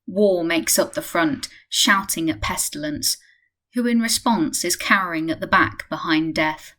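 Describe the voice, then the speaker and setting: A woman's voice: on the low side, measured pace, pushed and bright. A woman reading scripted narration for a radio play.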